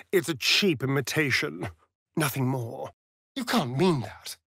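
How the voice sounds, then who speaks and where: An actor with an English accent playing a sly, vain, mocking vampire elf rogue in a fantasy game, arch and teasing in manner. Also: male voice, high-pitched, fairly bright tone, no echo, clean studio sound, exaggerated voice. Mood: scornful. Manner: arch, languid, teasing, theatrical. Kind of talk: theatrical fantasy game voice acting.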